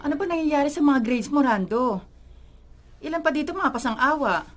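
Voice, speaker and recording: A woman's voice: sits high, lively intonation, a little fast, soft background music. A woman playing a middle-aged Filipino mother who is stern but caring, in a radio drama. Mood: scolding.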